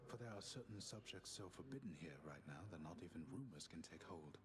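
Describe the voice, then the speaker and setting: A grave older warrior mentor from a video game. His deep voice is hushed and measured, formal in wording and quietly fond. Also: animated. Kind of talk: video game character acting.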